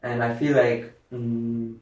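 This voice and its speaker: Indian accent guy, mid-pitch, pauses a lot hunting for words, super echoey room, far from the mic. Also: little pitch movement, a little mellow. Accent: Indian English. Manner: searches for words, halting with fillers. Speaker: guest. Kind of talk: casual panel chat.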